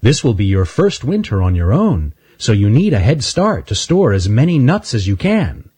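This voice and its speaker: A man's voice: mid-range voice, big pitch swings, normal speed, dark tone, breathy edge, no echo. A man doing a caring mother squirrel. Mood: firm. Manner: instructive, firm.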